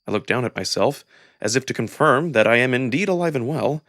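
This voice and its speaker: A man with a medium-pitched voice narrates a dramatic story in the first person. He reads expressively, acting out the narrator's thoughts as a voice actor would in a visual novel. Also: lively intonation, a little fast, fairly bright tone, no room sound, studio quality.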